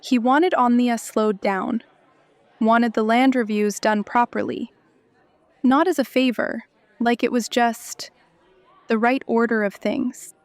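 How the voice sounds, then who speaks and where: An actress playing a grieving, candid young woman in an audio drama, speaking in a halting, earnest way. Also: fairly high pitch, fairly even intonation, no room sound, some chatter behind. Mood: earnest grief.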